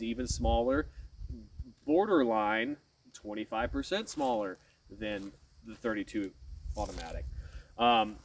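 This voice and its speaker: Man with a cold, nasal and halting. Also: medium pitch, recorded from a distance, slight background noise, low-quality recording. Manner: frequent "um" fillers and self-corrections. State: has a head cold. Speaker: channel host. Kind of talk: casual firearms explainer to camera.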